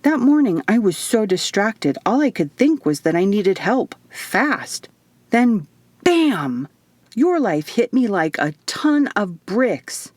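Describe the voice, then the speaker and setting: A woman's voice: medium pitch, sing-song, slow pace, darkish tone, dry recording. Narrator woman playing bouncy childlike otherworldly woman, gushing, emphatic, swooping intonation, storybook fantasy. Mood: excited recounting. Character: bubbly, excitable, childlike otherworldly woman, naively earnest.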